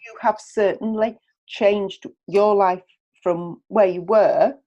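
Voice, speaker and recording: A woman's voice: medium pitch, steady tone, slow pace, warm tone. Woman podcast host, slightly dull sound. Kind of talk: chatty podcast interview and presenting.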